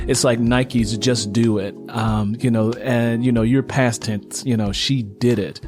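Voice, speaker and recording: A man's voice: mid-range voice, steady tone, measured pace, music in the background. A man hosting a casual interview, affirming his guest and repeating points for emphasis. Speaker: interview host.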